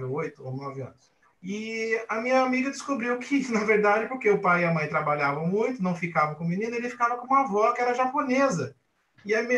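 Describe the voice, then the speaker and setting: Homem animado e agudo contando caso, sala ecoante. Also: male voice, high voice, reverberant, low-quality recording. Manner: animated storyteller, chuckling, many restarts. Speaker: high school teacher on a live panel. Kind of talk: casual anecdote telling. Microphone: video call.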